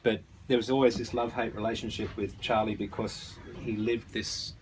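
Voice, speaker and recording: A middle-aged man reminiscing casually in an unscripted way, often halting and searching for his words. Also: lowish voice, flat delivery, a little hiss or hum, poor audio. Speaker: storyteller showing family photo archive.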